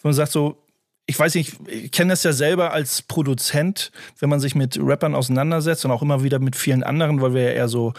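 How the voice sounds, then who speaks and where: A man's voice: on the high side, steady tone, quick pace, no room sound, studio quality. A man, a DJ and producer, co-hosting a casual podcast and rambling through his points with many restarts. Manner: rambling, restarts sentences often, laughs easily. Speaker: DJ and music producer, co-host.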